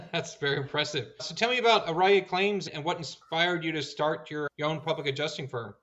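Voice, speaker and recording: A man's voice: on the high side, a bit bright. Upbeat man hosting, slightly muffled sound. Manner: upbeat, encouraging, quick reactions. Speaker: podcast host. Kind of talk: interview hosting.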